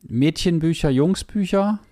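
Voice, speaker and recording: A man's voice: fairly high pitch, a little slow, mellow, a little hiss or hum. Hesitant teasing male podcast host. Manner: hesitant with many fillers, teasing. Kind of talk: casual podcast chat.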